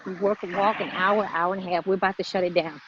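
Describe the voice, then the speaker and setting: A woman's voice: fairly low pitch, fairly even intonation, fast. A woman hosting a motivational live vlog, speaking emphatically and repeating phrases for stress. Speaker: vlog host, fitness motivator. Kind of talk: motivational livestream chat. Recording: muffled, noisy live-stream audio.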